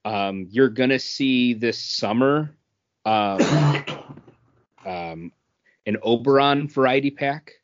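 A man's voice: mid-range voice, takes it slowly, pauses often, dry recording, highs cut off. A man co-hosting a podcast, explaining things casually and sometimes reading aloud. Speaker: podcast co-host.

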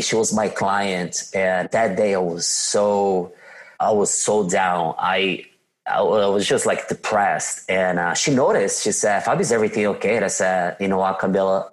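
Brazilian guy with a thick accent, guest on an interview, tells his story lively and plays out what people said. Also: lowish voice, unhurried, low-quality recording. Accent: Brazilian Portuguese. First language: Portuguese. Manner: animated, acts out his own past dialogue.

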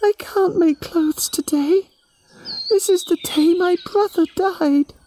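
A man's voice: slow, slight background noise. A man putting on a weeping young woman. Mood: grieving. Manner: high, soft and tearful. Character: grieving young woman weeping for her brother. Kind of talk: storytelling for children.

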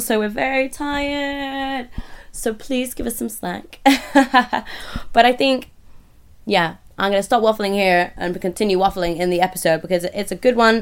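A woman hosting a podcast in a casual, bubbly, rambling way, her voice croaky from a recent illness. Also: fairly high pitch. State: hoarse from illness. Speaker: podcast host.

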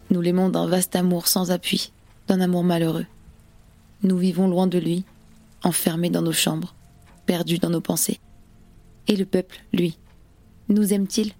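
A proud, sorrowful revolutionary in radio theatre. Her lowish voice is solemn, grave and lyrical, each phrase measured, over faint music. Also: little pitch movement, dark tone. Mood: mournful. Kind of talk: French radio theatre acting.

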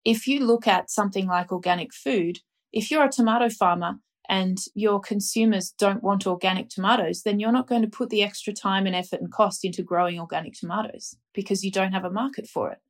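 A woman's voice: medium pitch, fairly quick, hardly any pauses. A woman, an expert guest on a panel, explaining her points with confident everyday examples. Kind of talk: panel discussion.

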